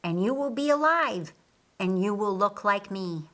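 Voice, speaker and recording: A woman voicing a calm, assured male religious teacher in an audiobook, speaking deliberately and stressing key words. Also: medium pitch. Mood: solemn teaching. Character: Jesus, a man, calm, assured, kindly teacher. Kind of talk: audiobook character dialogue.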